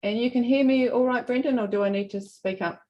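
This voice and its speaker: A woman with a New Zealand accent giving a semi-formal presentation to a hearing, speaking haltingly and often correcting herself. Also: fairly high pitch, steady tone, highs cut off. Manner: halting, hesitant delivery with frequent self-corrections. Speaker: regional council representative presenting.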